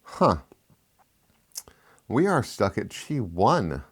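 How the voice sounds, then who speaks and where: Man, low pitch, lisp, casual tech review, chatty, rambling asides. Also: sing-song, very slow, a little hiss or hum. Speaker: tech reviewer, channel host.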